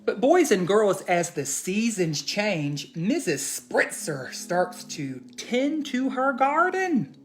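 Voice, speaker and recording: A man reading aloud and teaching for children, expressive and addressing them directly with questions. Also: sits high, slow pace, faint music behind. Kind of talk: children's storybook read-aloud and lesson.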